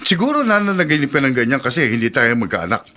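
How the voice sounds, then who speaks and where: A nagging, bright-voiced Filipino husband. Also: male voice, medium pitch, sing-song, fast. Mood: irritated. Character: irritable, sleepy husband, matter-of-fact and nagging. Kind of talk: Filipino radio drama acting.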